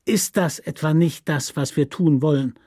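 A man's voice: on the high side, normal speed, mellow, no echo, studio quality, natural voice. A man voicing a bitter, defiant convict in a German radio story, with plain, repetitive, insistent phrasing. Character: bitter, defiant male convict pleading his innocence.

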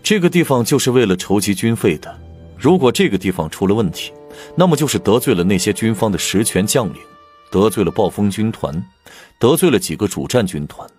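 Man playing a grave, animated, troubled prince. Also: on the low side, brisk, soft background music, natural voice. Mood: cautioning. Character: imperial prince, grave, troubled, candidly lecturing on state finances. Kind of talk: audiobook drama acting.

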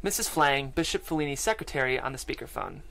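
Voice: medium pitch, lively intonation, fast, gravelly, bright, forceful tone. A narrator reading out screenplay stage directions at a table read in a podcast studio. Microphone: studio microphone and headphones.

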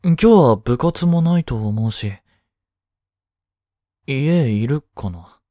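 A man's voice: medium pitch, lively intonation, slow, warm tone, no echo, clean studio sound. A man playing a flustered teenage boy jealous over his childhood friend in a romantic voice drama, boyish and hesitant. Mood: distracted, brooding. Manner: boyish, hesitant, muttering to himself. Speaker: amateur voice-drama actor. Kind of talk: Japanese romantic voice drama acting.

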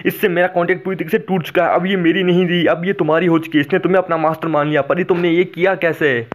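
A man's voice: high-pitched, fairly even intonation, quick pace, voice pushed up, natural voice. A man voicing a small, boastful male pig beast king in a cartoon recap, his voice raised well above his own. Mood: astonished. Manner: boastful, then pleading and whiny.

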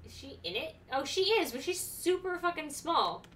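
A woman's voice: sits high, sing-song, a bit bright, far from the mic, slight background noise. A young woman streaming a video game, making blunt, sarcastic remarks as she plays. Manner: sarcastic, blunt, quick reactions. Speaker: gaming streamer. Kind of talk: casual let's-play commentary. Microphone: desk mic, webcam.

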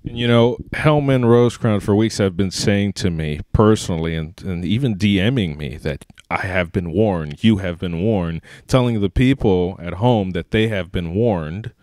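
A man's voice: low voice, moderate pace, hardly any pauses, a little mellow, no echo, clean studio sound. A young man hosting a wrestling interview, speaking earnestly like an announcer into a handheld microphone. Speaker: interview show host. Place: indoor wrestling ring. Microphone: handheld microphone held close.

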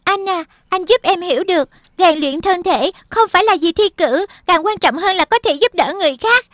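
A woman voicing an earnest young boy, the little brother, in a dubbed cartoon, with a high childlike voice. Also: normal speed, fairly bright tone, no echo. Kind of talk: cartoon voice dub.

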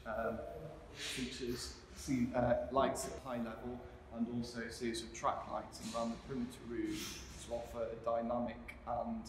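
A male architect giving a measured, explanatory walkthrough of a renovation site, filmed by a camera mic in a large hall under renovation. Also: mid-range voice. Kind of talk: scripted walkthrough presentation. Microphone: camera mic from a few metres away. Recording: roomy echo, distant mic, clear background noise.

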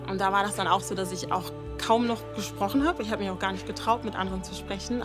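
A woman of twenty, a model contestant, speaking earnestly and openly about her life in a casting interview. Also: medium pitch. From Germany. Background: music.

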